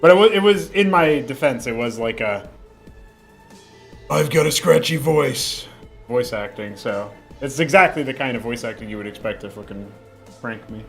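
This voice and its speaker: Young male streamer with a slight lingering cough, middle-pitched voice, casual rambling chat, faint music behind. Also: animated, normal speed. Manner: rambling, with frequent fillers and restarts.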